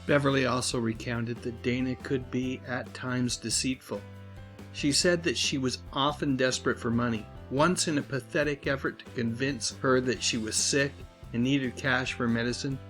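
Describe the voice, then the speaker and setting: A man hosting and narrating a scripted video essay, with earnest, dramatic phrasing and drawn-out endings. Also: medium pitch, steady tone, a bit bright. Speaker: host, pop culture commentator. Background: music bed.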